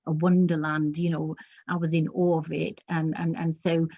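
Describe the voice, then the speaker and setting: A woman poet and teacher whose first language is Urdu, reading her poems and speaking earnestly on stage, with a halting manner and many restarts. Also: low-pitched, flat delivery, mellow, muffled recording. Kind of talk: poetry reading and stage interview.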